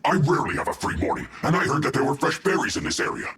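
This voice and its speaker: An actor playing a bullied, indignant soldier in a video game, pleading in an exasperated, theatrical way. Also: male voice, mid-range voice, huge pitch swings, very fast, creaky, a bit bright, a little hiss or hum, heavily acted. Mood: defensive, pleading.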